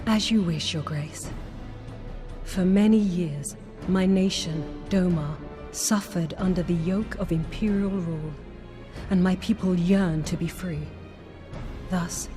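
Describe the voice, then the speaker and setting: A woman voicing a dignified, humble exiled noblewoman pleading for her people in measured, formal speech. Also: lowish voice, warm tone, natural voice.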